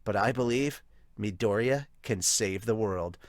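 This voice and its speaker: A man voicing a solemn, earnest storyteller who narrates an epic cartoon prologue with measured emphasis. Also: fairly low pitch, voice pushed down, natural voice.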